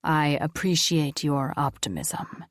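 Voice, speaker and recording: A woman voicing an ancient, stern male angel who speaks slowly, with grave, commanding menace. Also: deep voice, lively intonation, no room sound, clean studio sound, pitched below the performer's own voice. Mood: cold.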